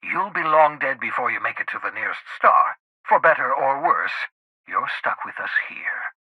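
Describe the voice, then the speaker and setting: Eccentric mad scientist man from a video game, super swingy pitch, schemer, very emphatic, close dry sound. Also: sing-song, moderate pace, breathy edge, dry recording, dull audio. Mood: insistent, earnest. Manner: theatrical, articulate, emphatic, swinging between fervour and muttered asides. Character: eccentric, excitable fugitive male scientist, idealistic schemer. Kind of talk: video game character dialogue.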